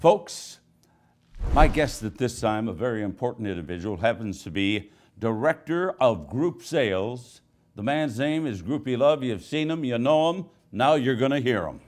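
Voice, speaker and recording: A man hosting a promotional interview in a showy, mock-grand announcer manner with drawn-out emphasis. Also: medium pitch, lively intonation. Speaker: interviewer in a team promotional segment.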